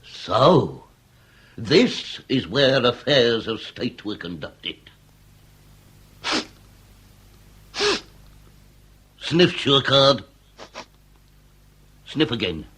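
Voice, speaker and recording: An actor playing a middle-aged Victorian police inspector, pompous and grandiloquent, in a period radio comedy drama. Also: male voice, medium pitch, very animated, a bit bright, husky, exaggerated voice. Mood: probing, portentous. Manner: grandiloquent, deliberate, commanding.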